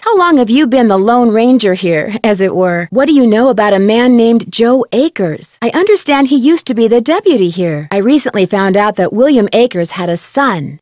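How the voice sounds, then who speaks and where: Woman voicing curious young girl detective for a video game, highish voice, polite and earnest, dry close sound. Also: a little mellow, no room sound, close to an everyday voice. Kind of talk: scripted adventure game dialogue.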